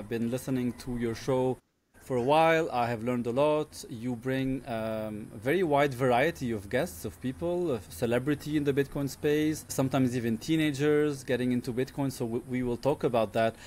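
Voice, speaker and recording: A man hosting a podcast, welcoming his guest and asking friendly, measured questions. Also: medium pitch, darkish tone. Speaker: podcast host. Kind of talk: interview hosting.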